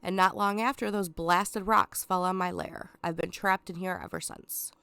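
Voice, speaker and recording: A scheming, sarcastic lion king, read by a woman with a cold in a video game walkthrough. He sounds stuffed up yet smooth, pitched on the low side and close to a dry mic. He sneers down at the listener with drawn-out dips and breathy whispered asides. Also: fairly even intonation, pushed and bright, no room sound. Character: scheming, sarcastic male lion villain, self-proclaimed king.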